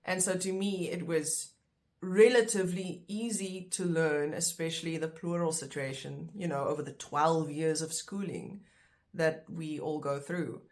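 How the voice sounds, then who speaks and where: A woman's voice: deep voice, fairly even intonation, unhurried, a little mellow. Chatty woman teaching. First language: Afrikaans. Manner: chatty, explains step by step with fillers. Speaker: language teacher. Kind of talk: casual online lesson.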